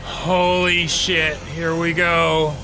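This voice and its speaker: A man with a bright, somewhat high voice casually reacts to a show. He speaks in short, unhurried agreements and exclamations, with faint music behind him. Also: flat delivery, low-quality recording.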